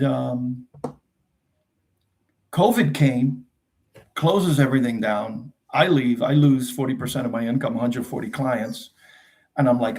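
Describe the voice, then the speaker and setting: A middle-aged man with a New Jersey accent hosts a live Q&A in a gravelly, creaky voice. He rambles and chats, circling back and restarting his sentences. Portuguese is his first language, and his pitch sits at a middle level for a man. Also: darkish tone. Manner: rambling, chatty, frequent fillers and restarts. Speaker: host. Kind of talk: casual live Q&A chat.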